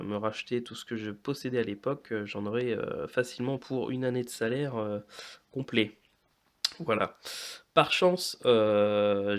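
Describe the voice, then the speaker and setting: A man in his late thirties presenting informally to viewers as a video host, often hesitating with drawn-out fillers. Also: medium pitch. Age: late 30s. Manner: hesitant, frequent long filler pauses. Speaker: YouTube host of a toy and model kit channel.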